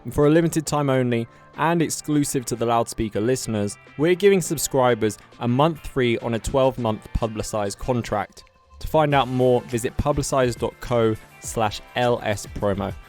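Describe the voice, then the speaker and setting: Male promo announcer. Gravelly fry, medium pitch, scripted ad read over faint guitar music. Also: normal speed, darkish tone. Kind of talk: scripted podcast advert.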